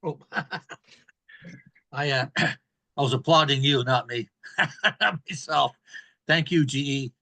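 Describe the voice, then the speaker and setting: A man delivering an evaluation speech at a public speaking club in an emphatic, deliberate manner. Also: medium pitch, bright, forceful tone.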